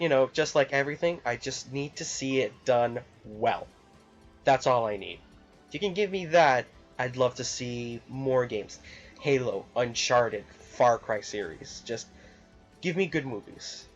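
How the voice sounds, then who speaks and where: A man's voice: medium pitch, lively intonation, measured pace. A man talking casually and off the cuff as a gaming vlogger, in a rambling, hesitant way with repeated phrases. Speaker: video game YouTuber. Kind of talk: casual unscripted opinion vlog. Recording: dull lo-fi mic, music behind. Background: background music.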